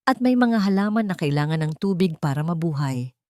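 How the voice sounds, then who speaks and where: A woman voicing an elderly, gentle and wise former gardener who speaks politely and softly in a storybook. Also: on the low side, lively intonation, brisk, dry recording, clean studio sound, lightly acted. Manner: polite and soft-spoken. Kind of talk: storybook narration.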